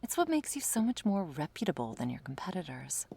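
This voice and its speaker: A woman playing a smug, predatory female vampire in an ASMR roleplay, flirtatious and taunting, with teasing, drawling, breathy delivery. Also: deep voice, big pitch swings, a little fast, mellow, a little hiss or hum, exaggerated voice. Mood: smooth flattery.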